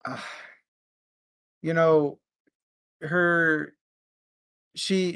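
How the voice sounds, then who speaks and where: A man hosting a casual book discussion, thinking aloud in a halting way. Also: a little high, fairly bright tone, no echo. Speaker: book discussion show host.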